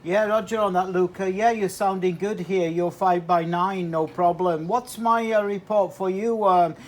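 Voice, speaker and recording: A man speaking as an amateur radio operator in a casual, halting two-way chat full of radio jargon. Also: high voice, fairly even intonation.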